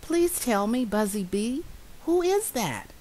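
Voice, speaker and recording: A tiny, eager boy mouse from a storybook, put on by a woman, high and full of wonder, with lively swoops. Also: takes it slowly, exaggerated voice, low-quality recording. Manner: high, breathless, pleading questions. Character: small curious young male mouse, eager and wonder-struck.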